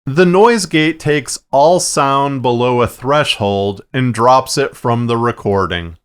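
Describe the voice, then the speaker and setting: A man narrating a tutorial in a measured, plain-spoken, instructional way, explaining his production process step by step. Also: mid-range voice, smooth. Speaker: fiction podcast producer and narrator. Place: acoustically treated recording space.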